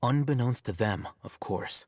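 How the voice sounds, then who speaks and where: A man playing a composed, analytical young scholar in a video game, speaking in a measured, deliberate way. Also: mid-range voice, animated, a little mellow, dry recording, clean studio sound. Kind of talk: scripted video game voice acting. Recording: game music behind the voice.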